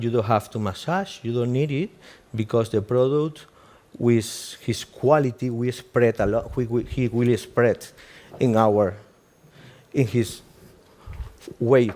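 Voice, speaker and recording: A man with a Cuban Spanish accent walks listeners through a live treatment in halting, non-native English. He keeps checking in with "okay?" and drops in light jokes as he works. His voice sits at an ordinary middle pitch. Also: a little mellow. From Cuba. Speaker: aesthetic doctor giving a live demo. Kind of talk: live procedure demonstration.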